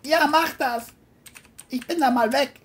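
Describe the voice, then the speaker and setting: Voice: sits high, unhurried, slight background noise, pitched above the performer's own voice. A narrator voicing an irritable, foul-mouthed young man in an audio crime drama, whiny and exasperated. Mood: indignant, angry.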